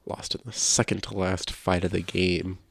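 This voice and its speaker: A man with a creaky voice co-hosting a casual video game podcast, chatting conversationally. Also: level tone, normal speed, no room sound. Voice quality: low creaky voice. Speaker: podcast co-host. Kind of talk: casual podcast chat about video games.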